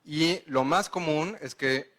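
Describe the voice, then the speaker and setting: A man's voice: a little high, slow, fairly bright tone. A man with a Mexican accent giving a conference lecture. He explains step by step and checks in with the audience. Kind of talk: conference lecture answering questions.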